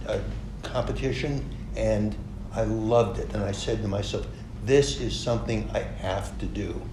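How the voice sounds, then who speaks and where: Earnest man, fairly low voice, roomy. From Los Angeles. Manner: earnest, halting with restarts. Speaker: conducting student. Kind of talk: casual interview answers. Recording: roomy with background noise.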